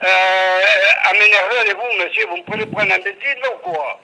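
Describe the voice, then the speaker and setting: A man speaking as a customer on a phone call, stubborn and indignant, often repeating his words haltingly. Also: sits high, crowd noise. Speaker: customer being prank-called. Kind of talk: phone conversation. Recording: noisy telephone line.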